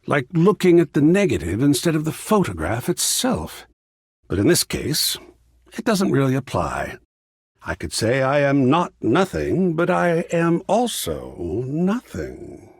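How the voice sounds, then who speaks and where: A man voicing a whimsical, effusive video game character who is art itself, raspy and gravelly, speaking in a grandiose, florid, theatrical way. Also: a little high, wildly swooping pitch, measured pace, dry recording, studio quality, heavily acted. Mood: musing, curious. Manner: grandiose, florid, savoring words with dramatic swoops. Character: flamboyant, whimsical living embodiment of art, effusive and philosophical.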